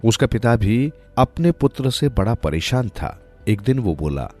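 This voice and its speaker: A man's voice: mid-range voice, very animated, a little slow, a little mellow, soft background music. A man narrating a moral folk tale from a script, as a storyteller.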